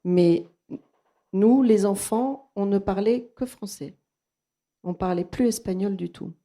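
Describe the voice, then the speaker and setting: A woman's voice: medium pitch, slow, warm tone. A woman from Argentina, a native Spanish speaker with a Toulouse French accent, giving a thoughtful, hesitant personal account at a bookshop talk. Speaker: psychoanalyst presenting at a bookshop talk.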